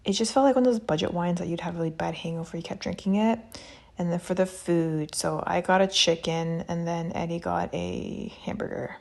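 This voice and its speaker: A woman's voice: on the low side, brisk, gravelly, a little mellow. A woman narrating a travel vlog in a casual, chatty and candid, understated way. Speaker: travel vlogger. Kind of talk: casual vlog voice-over reviewing an experience.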